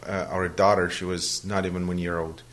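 A man's voice: deep voice, flat delivery, fairly quick, fairly bright tone. A Brazilian man, native Portuguese speaker, answering interview questions in a hesitant conversational way, often restarting his sentences. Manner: hesitant, many restarts and fillers.

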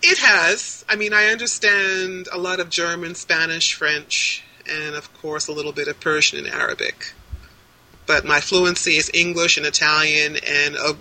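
A woman in her sixties, answering interview questions over a video call in a measured, articulate way, listing details one after another. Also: low-pitched, sharp tone, rough recording. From United States.

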